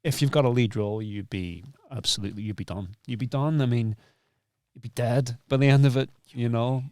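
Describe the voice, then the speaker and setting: A man's voice: medium pitch, steady tone, a little fast, dark tone, no room sound, studio quality. A man hosting an interview in reflective conversation, rephrasing and repeating his points for emphasis. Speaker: podcast host and interviewer.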